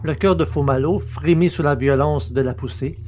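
A man's voice: a little high, moderate pace, darkish tone, faint music behind. A man reading scripted literary narration for a science fiction story, as its narrator.

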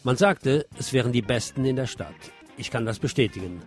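A man's voice: mid-range voice, animated, a little fast. Man presenting radio commentary over music. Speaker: radio host. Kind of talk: scripted radio commentary and news reading.

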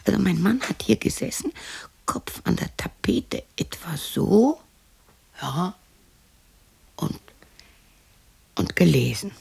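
A woman's voice: lowish voice, very animated, slow, gravelly, long pauses, darkish tone, breathy edge, full character voice. An actress playing a lonely widow in her sixties who mutters to herself in a radio drama. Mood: musing. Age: 60s. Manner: muttering to herself, shifting between musing and scolding. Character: lonely sixty-year-old widow talking to herself and imagined family.

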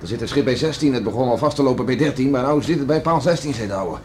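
An actor playing a brisk, hardy, bold farmer and husband, gruffly practical, in a radio play. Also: male voice, mid-range voice, darkish tone, close to an everyday voice. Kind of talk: Dutch radio play acting. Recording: echoey, faintly noisy radio play recording.

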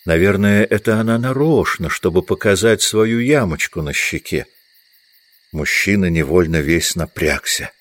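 A male narrator reads literary prose for an audio drama. His voice is very low and deep. The delivery is scripted storytelling. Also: expressive, a little slow, dry recording.

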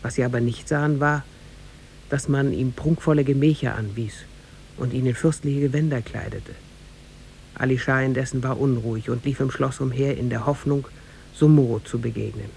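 A man narrating a tale in measured, formal storytelling style for a 1950s German radio play. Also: fairly even intonation, vocal fry, a little mellow. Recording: 1950s radio recording with faint rumble.

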